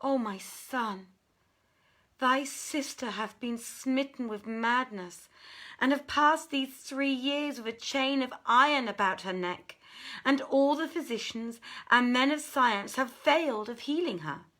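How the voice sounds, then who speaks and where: A woman voicing a devoted, scheming mother from an old tale, speaking in formal, archaic, deliberate phrases. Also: high voice, sharp tone. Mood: grave, sorrowful.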